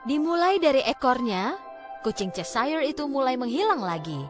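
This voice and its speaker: A woman with a fairly high voice tells a children's fairy tale. Her phrasing is deliberate and expressive, with lively rises and falls, and music plays underneath. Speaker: storyteller. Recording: background music.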